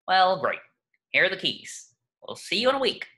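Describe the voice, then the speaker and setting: A man's voice: high voice, big pitch swings, moderate pace, sharp tone, exaggerated voice. A man voicing a stern, cranky elderly superhero sidekick in a cartoon table reading. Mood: brisk. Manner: stern, emphatic.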